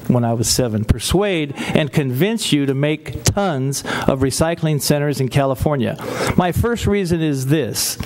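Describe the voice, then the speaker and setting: An older man in his sixties, a city council member, reading a child's letter aloud at a meeting with amused asides, speaking into a desk microphone in a council chamber. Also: fairly high pitch. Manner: warm, amused asides between read lines. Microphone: desk gooseneck mic.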